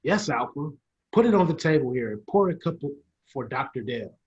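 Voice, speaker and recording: A man's voice: mid-range voice, sing-song, a little fast, a little mellow, lightly acted. A man playing a proud older inventor professor in an old-style radio play, explaining theatrically and sometimes stumbling. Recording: dull lo-fi sound.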